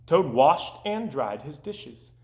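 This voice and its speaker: Animated man reading a storybook. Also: on the high side, takes it slowly, reverberant. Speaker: storybook reader. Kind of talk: animated read-aloud narration for children.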